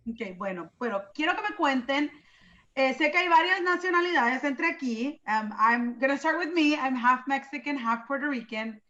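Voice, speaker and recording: A woman's voice: high voice, animated, fairly quick, bright, forceful tone, dull audio. A woman from Los Angeles hosting a casual interview, chatty and enthusiastic, moving between English and Spanish. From Los Angeles, USA.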